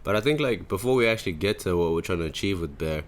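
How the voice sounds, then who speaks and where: Deep-voiced young man. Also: lively intonation, fast, slight background noise. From Zimbabwe. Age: 20s. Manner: rambling, slangy. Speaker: podcast host. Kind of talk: casual chat.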